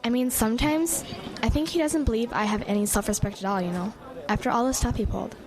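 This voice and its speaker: A woman plays a gossipy teenage girl in a radio play. Her voice is fairly high and theatrical, quick to sound hurt, with a crowd audible behind her. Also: close to an everyday voice. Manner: dramatic, gossipy. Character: chatty, dramatic, easily wounded teenage girl friend. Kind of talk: radio drama acting.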